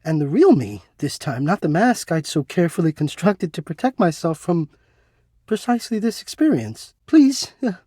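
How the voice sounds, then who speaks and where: A man's voice: a little high, very animated, moderate pace, dark tone, no room sound, studio quality. An actor playing a shy, insecure, lovestruck male recluse in a video game, speaking hesitantly with stammers and formal, genteel phrasing. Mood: confused, wounded. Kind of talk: expressive video game voice acting.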